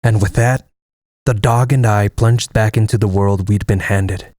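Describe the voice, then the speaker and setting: Guy doing a fatalistic navajo war pilot for a comic audiobook, lowish voice, grave and measured, really dry sound. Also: steady tone, a little mellow, no echo, lightly acted. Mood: solemn. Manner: solemn, measured delivery. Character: stoic Navajo lieutenant of the US Army Air Force, fatalistic. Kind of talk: dramatic first-person narration and dialogue in an audio comic.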